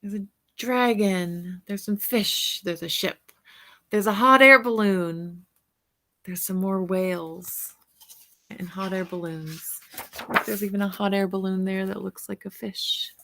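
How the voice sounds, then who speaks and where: A woman's voice: mid-range voice, fairly even intonation, takes it slowly, pushed and bright. A woman reading a picture book aloud as a teacher-storyteller, stretching out words and pointing out details to young listeners.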